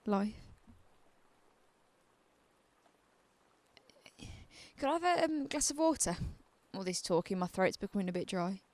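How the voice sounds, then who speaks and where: A woman's voice: medium pitch, sing-song, a little fast, darkish tone, natural voice. A woman playing a defiant, bitter asylum patient in a radio drama, with a probing, wry edge to her talk. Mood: guarded, reflective.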